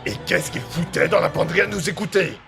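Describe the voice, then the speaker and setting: A man playing an aggressive, suspicious gangster in a French audio drama, coarse, slangy and quick to threaten. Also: sits high, creaky, music in the background. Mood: menacing. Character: aggressive male gangster thug, suspicious and short-tempered.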